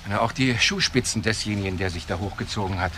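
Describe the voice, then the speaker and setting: Polite precise professional man in a radio drama, lowish voice, slight noise. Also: fast talker, sharp tone. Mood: earnest, insistent. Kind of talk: German crime radio drama acting.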